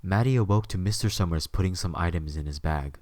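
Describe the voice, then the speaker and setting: A man's voice: low-pitched, fairly quick, darkish tone, slight background noise. A man reading scripted story narration as the narrator of an audio drama.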